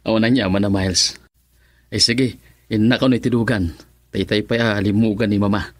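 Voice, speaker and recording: A man's voice: lowish voice, steady tone, brisk, dry recording, natural voice. A man acting an easygoing, polite young man in a radio drama, casual and obliging, with a Filipino accent.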